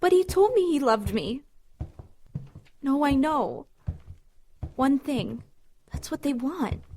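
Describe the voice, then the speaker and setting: A sarcastic, lovesick teenage girl from an audio drama. Her voice is high and swings widely, with theatrical flair as she needles everyone around her. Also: big pitch swings, slow, a little mellow, slight background noise, heavily acted. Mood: heartbroken.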